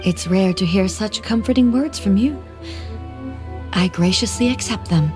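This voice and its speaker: A woman playing a dignified female ruler deity in a video game, formal, measured and resolute. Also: mid-range voice, a little mellow, faint music behind, lightly acted. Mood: gracious. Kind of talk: video game character acting.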